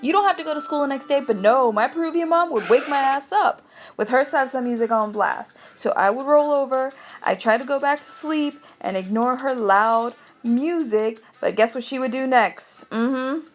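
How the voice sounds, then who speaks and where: An American woman vlogs in a casual, chatty way, playfully exaggerating her complaints. Her voice sits a little high. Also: normal speed, faint music behind. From United States. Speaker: travel vlogger. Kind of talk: casual storytelling vlog.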